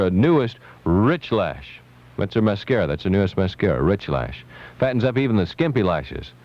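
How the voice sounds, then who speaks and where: Lively low-voiced American radio DJ on lo-fi AM. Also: male voice. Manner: playful, chatty, ad-libbing. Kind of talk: lively top-40 radio show patter and live ad reads. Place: radio studio. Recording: dull lo-fi 1970s AM radio aircheck.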